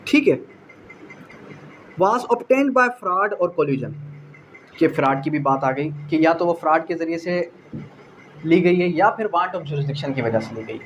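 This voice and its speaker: A man's voice: a little high, lively intonation, a little slow, pauses often, slight background noise, low-quality recording. A man with a Pakistani accent giving an explanatory law lecture, didactic, posing questions and answering them, mixing in English terms. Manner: code-switching between Urdu and English legal terms. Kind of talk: explanatory exam-prep lecture.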